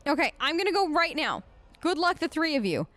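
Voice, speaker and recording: A woman's voice: high voice, sing-song, brisk, a bit bright. A woman playing through a role-play game, voicing her choices in a casual, chatty and informal way. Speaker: let's play streamer.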